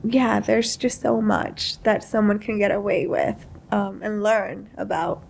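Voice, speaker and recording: A woman's voice: mid-range voice, fairly even intonation, a little slow, vocal fry, darkish tone, a little hiss or hum. A woman hosting a casual, chatty interview podcast, talking informally and feeling her way through sentences with plenty of "you know". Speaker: podcast host.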